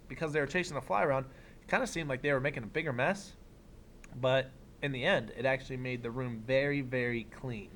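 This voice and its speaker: A man teaching young children, reading a picture book aloud expressively and pausing for simple explanatory asides. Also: fairly high pitch, slight background noise. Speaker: elementary school teacher.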